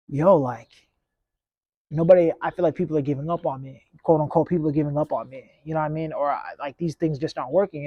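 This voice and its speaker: A man's voice: fairly high pitch, mellow, no echo, highs cut off. A man in his late twenties hosting a casual solo podcast outdoors on a clip-on mic, talking in a reflective, rambling, laid-back way. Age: 20s. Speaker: podcast host.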